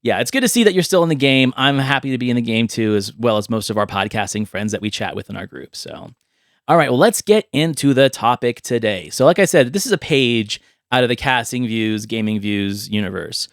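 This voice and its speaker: A man's voice: mid-range voice, a little fast, no room sound, clean studio sound. A man hosting a podcast in a casual, chatty way, explaining things conversationally as he goes. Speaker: podcast host.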